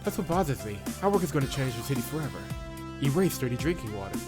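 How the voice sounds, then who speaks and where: A man's voice: medium pitch, very animated, fast, over music, lightly acted. Man reading an earnest, flustered woman engineer. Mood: earnest, dissatisfied. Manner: hesitant, earnest. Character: earnest, idealistic, flustered female corporate engineer.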